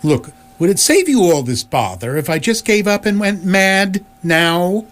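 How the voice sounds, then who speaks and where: An actor playing a bewildered, overwhelmed ordinary Earthman in a comic radio drama, halting and incredulous. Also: high-pitched, big pitch swings, a bit bright, heavily acted.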